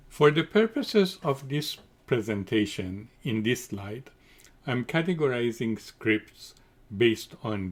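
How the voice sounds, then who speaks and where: A middle-aged man, a Farsi speaker, giving a formal, measured scripted technical conference presentation. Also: fairly low pitch. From Iran. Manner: measured, deliberate, formal delivery.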